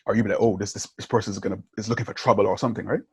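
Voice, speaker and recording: Husky low-voiced man, casual storyteller. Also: very fast, no room sound. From Barbados. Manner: animated storytelling, keeps checking in with "yeah?" and "right?". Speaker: podcast host. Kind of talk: casual conversation.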